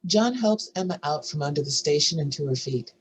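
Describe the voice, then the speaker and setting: A woman with a husky voice reading screenplay stage directions as a narrator. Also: sits low, expressive, brisk, a little hiss or hum.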